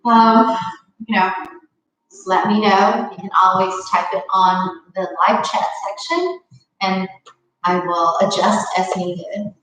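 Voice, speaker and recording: A woman leading a live online yoga class, her voice a little rough from allergies, giving calm, guiding cues. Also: medium pitch, slow, far from the mic. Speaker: yoga instructor. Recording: echoey room, away from the mic.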